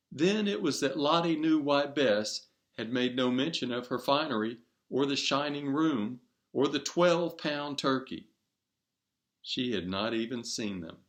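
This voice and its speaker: A man reading a short story aloud, narrating in measured, deliberate phrases. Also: medium pitch, fairly bright tone.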